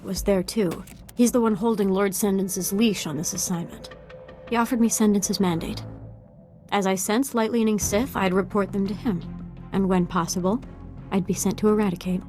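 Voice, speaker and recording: A woman plays a young dark-side apprentice in a space-fantasy game. Her mid-range voice is composed and earnest, devoted yet quietly murderous. Light music plays underneath. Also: mid-range voice, normal speed, natural voice. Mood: earnest devotion. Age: young adult. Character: devoted, bloodthirsty young female Sith apprentice. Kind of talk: video game voice acting.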